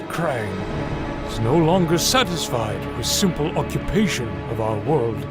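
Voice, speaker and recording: Man playing a slow, solemn king over loud music. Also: mid-range voice. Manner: solemn, declamatory, deliberate. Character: grave, regal king of a besieged alien world. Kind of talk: dramatic audio drama acting. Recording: music behind the voice.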